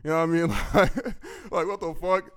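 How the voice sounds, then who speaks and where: A man in his twenties with a deep, gravelly voice, a musician answering fan questions in laid-back, profane casual talk. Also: steady tone, normal speed, a bit bright. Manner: laid-back, profane, keeps asking "you know what I mean"; commanding, projecting delivery. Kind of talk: casual solo Q&A.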